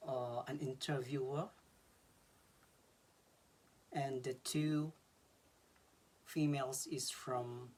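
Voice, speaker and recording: A Filipino man in his thirties talks unhurriedly, straight to a camera close by in a small bedroom. His pitch sits in the middle, and he talks loosely, restarting phrases and filling gaps with "uh". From Philippines. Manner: relaxed, repeats words and fills with uh. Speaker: vlogger explaining an exam. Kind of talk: casual advice vlog.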